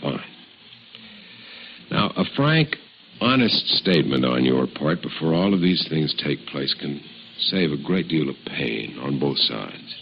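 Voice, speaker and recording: A stern deputy district attorney with an extremely low voice, formal and forceful as he presses a suspect. It is old-fashioned radio drama on a muffled, slightly noisy vintage recording. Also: very animated, unhurried, creaky, exaggerated voice. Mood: stern, insistent. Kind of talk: 1950s radio drama acting.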